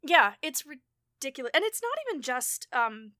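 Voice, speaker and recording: A woman hosting a podcast, talking casually in an animated way with frequent restarts and exasperated asides. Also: sits high, normal speed. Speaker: podcast host. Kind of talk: casual conversation.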